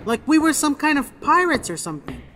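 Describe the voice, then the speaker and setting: Audio drama kid: excitable boy, very animated, high-pitched. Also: a little fast, slight background noise. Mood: defensive. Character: Benny, excitable, sarcastic young boy twin.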